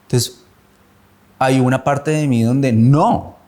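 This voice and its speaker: A man in his thirties from Bogotá with a Colombian accent, giving a reflective personal testimony, measured and often searching for words. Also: mid-range voice, fairly even intonation, dark tone.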